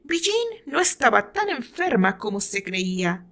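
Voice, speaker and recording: A woman's voice: a little high, sing-song, measured pace, a bit bright. A woman with a Mexican accent reading her own fiction aloud as dramatic scripted narration.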